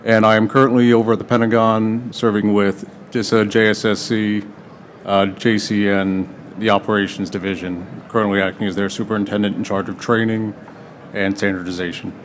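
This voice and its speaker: A man from Texas giving a brisk, formal introduction as a panelist. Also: fairly low pitch, little pitch movement, slight background noise.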